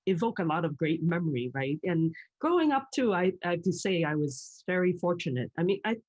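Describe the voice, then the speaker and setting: A woman's voice: fairly low pitch, sing-song, a little fast, a little mellow, lo-fi sound. A middle-aged woman from Hong Kong, a guest in casual chat, talking freely with frequent laughs and restarts. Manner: chatty, laughs often, many restarts.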